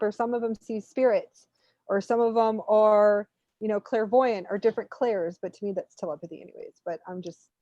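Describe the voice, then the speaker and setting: A woman with a fairly high voice speaks as a spiritual reader, informal and animated, rambling from one thought to the next. The sound is somewhat dull and lo-fi, like an online stream. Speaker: spiritual reader hosting a live readings session. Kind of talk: unscripted spiritual coaching chat. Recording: muffled, roomy, lo-fi online stream.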